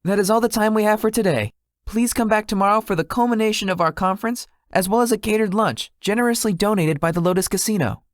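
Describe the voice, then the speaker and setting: A man playing a gracious young celebrity tech guru in a visual novel, speaking politely and formally in a measured way. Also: sits high, no echo, clean studio sound, natural voice. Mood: courteous. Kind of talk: visual novel character acting.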